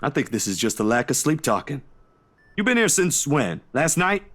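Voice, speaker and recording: A man voice-acting a steady, easygoing friend in a visual novel, casual and coaxing as he reassures. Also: fairly high pitch, normal speed. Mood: firm, reassuring.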